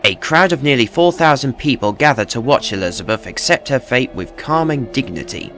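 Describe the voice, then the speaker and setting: A man narrating a true tale as scripted, dramatic storytelling in a measured, grave manner. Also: mid-range voice, expressive, a bit bright, soft background music.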